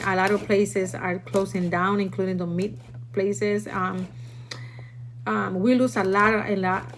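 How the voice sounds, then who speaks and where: A woman from Puerto Rico with a Puerto Rican accent, a native Spanish speaker, chatting and instructing casually as host of a livestream. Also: mid-range voice. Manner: chatty, instructive, often tags sentences with "okay?". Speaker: livestream host teaching food storage. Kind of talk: casual livestream chat and demonstration. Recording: noisy room, live stream.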